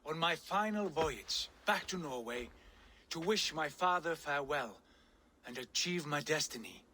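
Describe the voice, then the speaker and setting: Proud viking warrior, bright voice, solemn grand lines, faint game hum behind. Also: male voice, a little high, lively intonation, measured pace. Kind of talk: video game cutscene.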